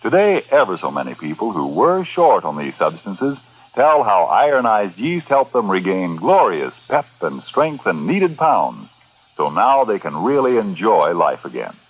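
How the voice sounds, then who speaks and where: A man delivers an old-time radio commercial in a polished, emphatic announcer's style. His lowish voice rises and falls freely. The recording is muffled. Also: very animated, a little slow, slightly rough. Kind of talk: 1940s radio advertising pitch.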